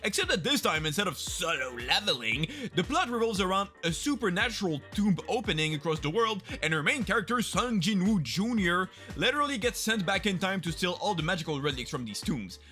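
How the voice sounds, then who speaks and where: A young man gives sarcastic, mock-dramatic commentary on the new anime season. His tone is bright, he keeps his voice a little high, and his pitch swings widely. Soft music plays faintly underneath. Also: sing-song, a little fast. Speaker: YouTube host.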